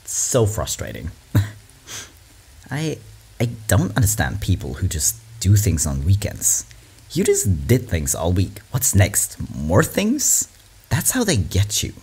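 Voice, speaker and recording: Guy, lively swoopy voice, reacting to memes, rambly and chill. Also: deep voice, slow pace, breathy edge. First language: German. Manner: rambling, animated, laughs often, swears. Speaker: video creator reacting to memes. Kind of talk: casual meme reaction chat.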